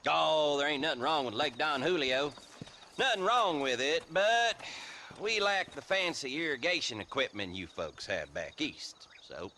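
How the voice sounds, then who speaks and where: A man's voice: on the high side, animated, measured pace, bright, forceful tone, a little hiss or hum, full character voice. A man playing a colourful, folksy frontier rustic with a Southern American drawl in a western video game. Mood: folksy, chatty. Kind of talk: video game cutscene acting.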